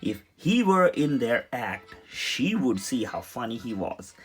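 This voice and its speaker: Children's read-aloud, lively swooping intonation, slightly husky voice, dramatic delivery, soft music underneath. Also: mid-range voice, a little slow. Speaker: storybook reader.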